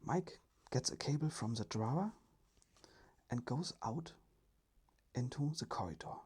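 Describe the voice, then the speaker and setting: A storyteller narrating a scripted children's tale in a soft, breathy ASMR whisper. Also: medium pitch, expressive, measured pace.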